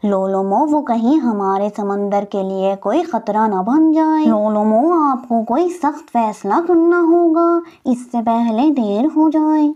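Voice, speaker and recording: A woman's voice: fairly high pitch, takes it slowly, hardly any pauses, warm tone, lo-fi sound, voice pushed down. A woman voicing a suspicious, nosy male cartoon fish with a wary, accusing manner. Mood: alarmed.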